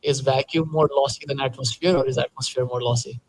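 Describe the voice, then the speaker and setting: A man's voice: medium pitch, steady tone, a little fast, darkish tone, poor audio. A young man from Nepal, an engineer speaking as a panel guest, explaining casually with many fillers. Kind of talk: panel Q&A answers.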